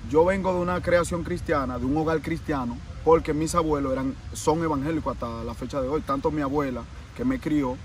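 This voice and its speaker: A man's voice: fairly high pitch, a little fast, hardly any pauses, a little hiss or hum. Dominican man, earnest, far from mic. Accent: Dominican Spanish. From Dominican Republic. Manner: earnest, repeats phrases, restarts often. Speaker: interview guest, vodou practitioner. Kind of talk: casual interview.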